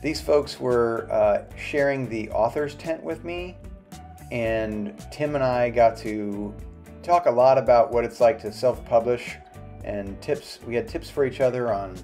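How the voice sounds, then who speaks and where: A man narrating his motorcycle travel vlog in a relaxed, chatty voice-over. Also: fairly low pitch.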